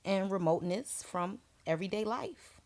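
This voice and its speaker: A woman's voice: medium pitch, normal speed, darkish tone. Hesitant woman co-hosting talk radio. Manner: thoughtful, hesitant, many fillers. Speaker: radio co-host. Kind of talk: casual talk radio discussion.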